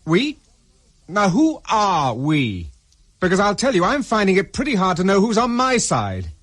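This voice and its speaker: A man's voice: high voice, wildly swooping pitch, a little slow, fairly bright tone, exaggerated voice. An actor playing a wary, defiant man on the run, clipped and distrustful, in a radio spy drama. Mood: angry, distrustful.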